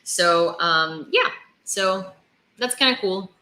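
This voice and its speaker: A woman's voice: medium pitch, expressive, slow, bright, forceful tone, roomy sound. A woman from Ukraine with an American-sounding accent, hosting a livestream of news reading and informal chat with viewers. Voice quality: versatile English with American-sounding vowels. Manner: chatty, informal, thanks viewers by name. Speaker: livestream host reporting Ukraine war news.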